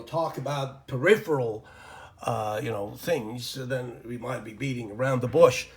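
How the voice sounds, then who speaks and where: A man whose first language is Persian, teaching an informal English grammar lesson from his desk, rambling with many fillers and muttering to himself as he draws. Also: medium pitch. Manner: rambling, lots of fillers and self-talk while drawing.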